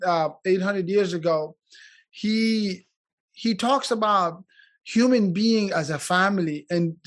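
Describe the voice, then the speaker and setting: Earnest halting man, slightly high voice. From Afghanistan. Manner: halting, many restarts and fillers, earnest. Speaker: community speaker. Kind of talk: unscripted speech at a community event.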